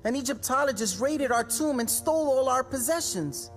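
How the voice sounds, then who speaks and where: A woman's voice: high-pitched, lively intonation, moderate pace, faint music behind. A woman voicing a cheery young mummy boy who tells jokes. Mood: playful. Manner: playful, joking.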